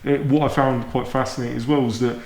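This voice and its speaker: A man's voice: mid-range voice, little pitch movement, quick pace, a little mellow. A man with an English accent, chatting informally and enthusiastically as a podcast host. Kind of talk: casual chat. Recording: roomy, echoing sound.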